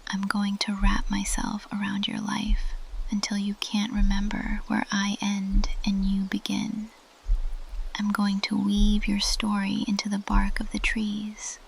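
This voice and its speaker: A woman voicing a possessive, lovestruck ancient plant monster girl in an intimate, husky whisper, as ASMR roleplay close to the microphone. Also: medium pitch, monotone, slight background noise, poor audio. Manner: slow, intimate, possessive murmuring.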